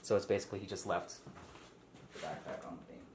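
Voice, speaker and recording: A man's voice: sits low, quick pace. A man leading a casual table read, reading out stage directions and explaining informally. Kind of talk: casual script read-through with asides. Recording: echoey room with faint noise.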